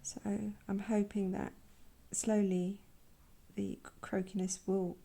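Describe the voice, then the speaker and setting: A woman with a croaky voice from a sore throat, softly talking through a painting tutorial in quiet, half-whispered asides. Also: mid-range voice, little pitch movement, slow pace. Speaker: art channel host. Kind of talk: soft-spoken painting tutorial.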